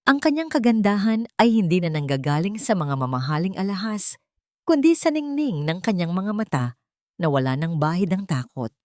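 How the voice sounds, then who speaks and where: Woman, story narrator, calm and steady, earnest, fairly low voice, dry close sound. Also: normal speed, no echo. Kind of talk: scripted first-person storytelling.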